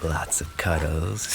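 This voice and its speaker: A man playing an affectionate, injured racing driver boyfriend in an intimate roleplay audio, speaking softly and tenderly, often close to a whisper. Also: extremely low pitch, gravelly, full character voice. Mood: tender, grateful.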